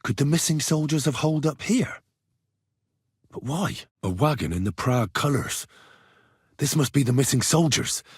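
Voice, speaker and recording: A man playing a young, earnest, plucky medieval blacksmith turned adventurer in a video game, with a plain-spoken, expressive delivery. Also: medium pitch, normal speed, long pauses, husky, no echo, studio quality. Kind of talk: video game voice acting, dramatic dialogue lines.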